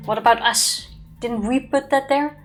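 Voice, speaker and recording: A woman's voice: high voice, normal speed, soft background music. A woman co-hosting a let's-play, chatting casually through puzzles with playful banter. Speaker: co-host playing a video game.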